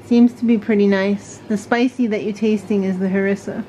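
A woman's voice: mid-range voice, steady tone, moderate pace, warm tone. A middle-aged woman chatting casually at home, recorded on a handheld camera. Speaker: vlogger's mother. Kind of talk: casual family chat. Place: home kitchen, indoors. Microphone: handheld camera at a short distance. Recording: noisy home camera audio.

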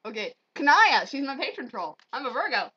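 A woman's voice: sits high, sing-song, a little fast, bright, forceful tone. A young woman chatting casually and jokingly with a friend in a playful, sweary way. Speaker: amateur vlogger doing character voices. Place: small bedroom at home, indoors. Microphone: computer webcam mic at arm's length. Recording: dull lo-fi webcam audio.

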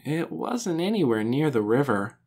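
A woman voicing a serious, thoughtful young boy in a children's audiobook, soft-spoken, hesitant and doubtful. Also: low voice, sing-song, measured pace, slightly rough, pitched above the performer's own voice, exaggerated voice. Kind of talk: children's audiobook character dialogue.

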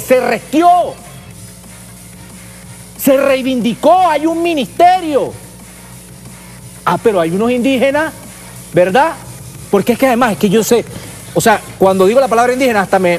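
Male political host, Venezuelan accent, strikingly high voice, fiery colloquial delivery, light music underneath. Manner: emphatic, colloquial, rhetorical questions. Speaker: political talk show host. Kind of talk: impassioned political commentary.

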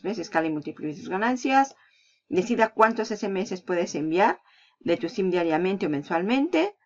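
A woman with a Peninsular Spanish accent hosting a casual screen-recording walkthrough, chatty and upbeat, laughing between points. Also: medium pitch. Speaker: affiliate marketing channel host. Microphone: voice-over on a screen recording. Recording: slightly dull lo-fi voice-over.

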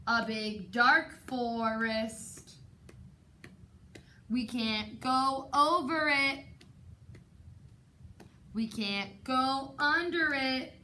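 A woman's voice: a little high, bright, forceful tone, a little hiss or hum. A young woman teacher reading a picture book aloud to children in a dramatic, sing-song way, recorded in a classroom from a camera a few metres away. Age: young adult. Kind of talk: children's storytime read-aloud. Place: indoor classroom, sitting on carpeted floor.

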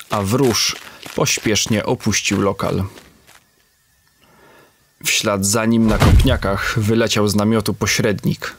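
A man's voice: lowish voice, slight background noise. A man giving solemn, scripted narration for a comic-book audio drama.

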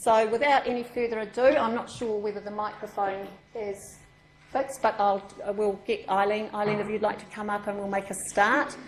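A woman's voice: medium pitch, little pitch movement, normal speed, slight background noise. A woman with a New Zealand accent welcoming a meeting in an informal, chatty way, with many ums and restarts. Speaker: education session organiser. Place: meeting room with a group. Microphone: far room microphone. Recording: echoey room.

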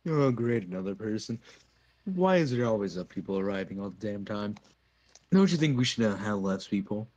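A man voicing a jaded, sarcastic cartoon circus resident in an amateur fan dub, halting, on a muffled mic. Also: fairly low pitch, animated, a little mellow. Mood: sarcastic grumbling.

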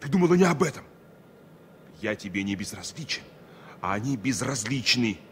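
An actor playing a proud, indignant engineer and jealous lover in a Soviet radio drama. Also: male voice, medium pitch, big pitch swings, normal speed, a bit bright. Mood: indignant, heated. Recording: noisy old radio play recording.

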